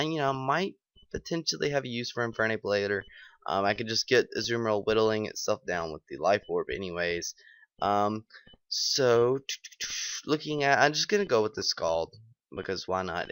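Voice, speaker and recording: A young man with a lowish voice, scratchy and stuffed up from being sick. He talks through his game moves casually, thinking aloud with lots of ums. The sound is close and dry. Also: fairly bright tone, no room sound. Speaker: gaming channel host. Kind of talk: live game commentary.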